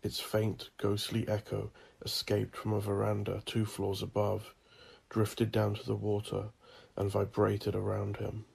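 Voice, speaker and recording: A man narrating dark horror fiction in an ominous, deliberate, theatrical manner. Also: fairly low pitch, monotone, vocal fry, husky. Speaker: narrator of a horror audiobook drama. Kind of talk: dramatic gothic horror narration.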